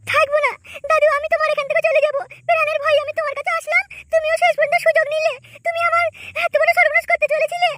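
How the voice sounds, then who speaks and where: Woman acting an extremely high-pitched, aggrieved cartoon young woman. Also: little pitch movement, very fast, background noise. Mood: hurt, accusing. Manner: plaintive, accusing, insistent. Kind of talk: comic cartoon melodrama.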